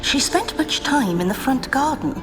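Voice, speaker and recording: A woman voicing a solemn otherworldly spirit servant in a fantasy video game, speaking in a formal, cryptic, measured way. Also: high voice, sing-song, music in the background.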